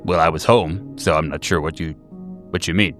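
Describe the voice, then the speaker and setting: A man playing an absorbed, literal-minded tinkerer, hesitant and halting in the way he talks. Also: low voice, fairly even intonation, fairly bright tone, soft background music. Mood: puzzled.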